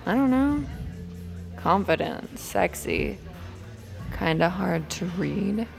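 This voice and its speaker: A woman's voice: on the low side, expressive, slow, exaggerated voice. An actress playing a confident, flirtatious woman at a bar in an audio drama, playful and knowing. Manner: playful, smooth-talking, knowing. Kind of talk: naturalistic audio drama acting. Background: music.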